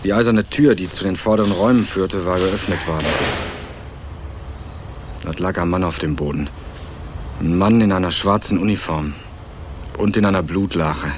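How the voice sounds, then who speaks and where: A man's voice: low-pitched, normal speed, pauses often, noisy background, lightly acted. A male actor playing a young student sleuth, narrating a crime radio play in a dry, laconic, sardonic manner over music. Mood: pained, laconic. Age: young adult. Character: young male student turned amateur sleuth, wry, hard-boiled narrator.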